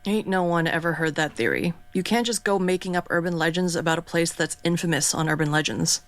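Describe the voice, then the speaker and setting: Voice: medium pitch, a little fast, fairly bright tone, dry recording, lightly acted. Actor as a blunt, fed-up radio co-host, mid voice, dry sound with faint mains hum. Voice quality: blunt, exasperated. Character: exasperated, skeptical radio co-host.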